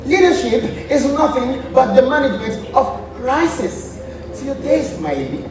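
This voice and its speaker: A man's voice: very high voice, big pitch swings, normal speed. Distant, echoing, mocking lord played by a man. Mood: flattering, condescending. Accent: Nigerian. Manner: exclamatory, teasing. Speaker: student stage actor. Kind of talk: stage play acting. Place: large indoor theatre stage. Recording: very echoey theatre, distant, clear noise.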